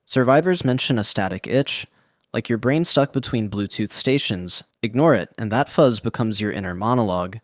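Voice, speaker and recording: A man giving scripted internet narration in a slangy, wry, deadpan way. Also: fairly low pitch, normal speed, no echo, studio quality.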